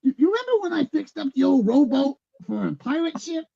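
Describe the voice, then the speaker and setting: Actress as folksy small-town handyperson, woman, gravelly, high, teasing, stubborn, dull recording, staged reading. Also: big pitch swings, brisk, mellow, no room sound, heavily acted. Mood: stubborn nostalgia. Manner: folksy, wisecracking. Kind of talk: community theater staged reading. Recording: dull lo-fi sound.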